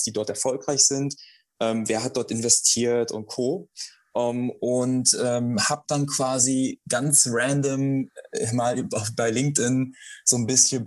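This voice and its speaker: A young man, a startup founder, answering interview questions in a halting way with many fillers and restarts. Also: medium pitch. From Germany.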